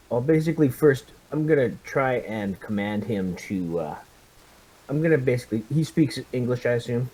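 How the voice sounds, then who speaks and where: A man's voice: medium pitch, mellow, poor audio. A man playing a tabletop roleplaying game, casually describing his character's actions in a hesitant, thinking-aloud way.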